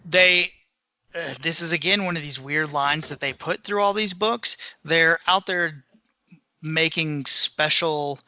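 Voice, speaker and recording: A man with a slightly high, very sharp and bright voice talks as a podcast co-host. His delivery is a casual, conversational recap. The sound is dry, with hardly any room. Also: slow pace, no room sound.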